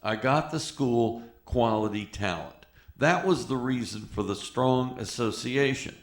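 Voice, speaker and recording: Male narrator, measured and unhurried, middle register, noticeably roomy recording. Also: medium pitch. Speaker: narrator introducing archival tape. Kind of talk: retrospective spoken narration.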